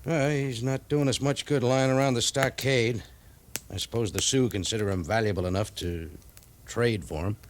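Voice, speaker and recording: An actor playing a grave, commanding cavalry major in a 1950s radio western, formal and authoritative. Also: male voice, mid-range voice, fairly even intonation, normal speed, close to an everyday voice. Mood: grave, decisive. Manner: formal, weighing words, authoritative. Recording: faintly noisy 1950s radio recording.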